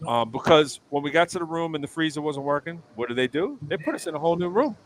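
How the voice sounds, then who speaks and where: A man's voice: a little high, animated, quick pace, slight background noise, poor audio. A man hosting a live stream show, talking casually and telling long rambling stories with lots of fillers and restarts. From United States East Coast. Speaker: show host. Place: hotel area in New York City.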